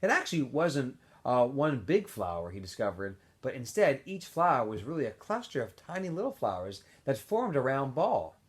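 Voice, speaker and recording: A man, a teacher, reading a novel aloud for students, with expressive delivery, small asides and self-corrections. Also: medium pitch, normal speed, hardly any pauses. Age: adult.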